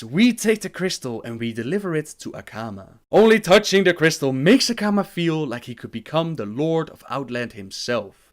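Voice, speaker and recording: A man narrating video game lore as a storyteller, in the style of a video creator. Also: on the high side.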